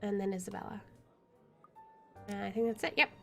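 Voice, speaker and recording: A woman's voice: medium pitch, fairly quick, vocal fry. A woman streaming a game, reading visual novel narration aloud and adding casual asides. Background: soft music.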